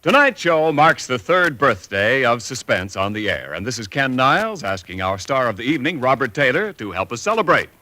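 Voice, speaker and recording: A man's voice: medium pitch, big pitch swings, moderate pace, hardly any pauses, bright, forceful tone. An American man working as a 1940s radio announcer, reading scripted sponsor copy in a polished, promotional manner.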